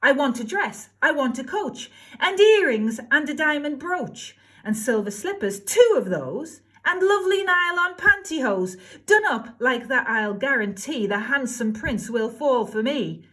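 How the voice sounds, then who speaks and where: A shrill, stroppy young woman from a storybook, high and loud, bouncing all over her range as she demands things, put on by a woman reading aloud. She sounds spoiled and theatrical. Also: lively intonation, measured pace, hardly any pauses. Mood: furious.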